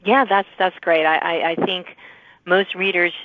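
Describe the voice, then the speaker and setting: Lower-pitched woman hosting, phone-like sound. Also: fairly even intonation, measured pace, no room sound. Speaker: podcast host. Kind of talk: conversational interview.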